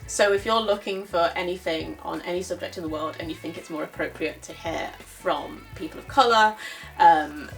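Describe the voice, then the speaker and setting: This is a woman on a discussion panel. She gives her opinions informally and candidly, explaining as she goes. She is picked up from a little way off, with music playing softly underneath. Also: on the low side, moderate pace, creaky. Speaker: panel speaker answering audience questions. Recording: distant mic, music underneath.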